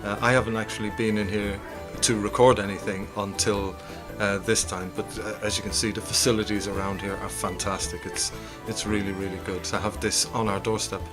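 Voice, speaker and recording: A man's voice: fairly low pitch, level tone, brisk, few pauses. A man chatting casually as an interviewee. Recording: guitar music clearly behind.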